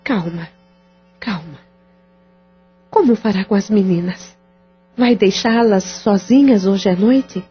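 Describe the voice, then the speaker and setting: An actress playing a caring friend who gently persuades and reassures the others. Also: female voice, mid-range voice, slow, long pauses, husky. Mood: coaxing. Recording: dull lo-fi audio with faint music.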